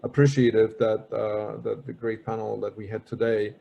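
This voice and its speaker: A man with a Polish accent, speaking as a panelist in a casual online discussion, halting and often repeating words. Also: fairly low pitch, little pitch movement, vocal fry, lo-fi sound. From Poland.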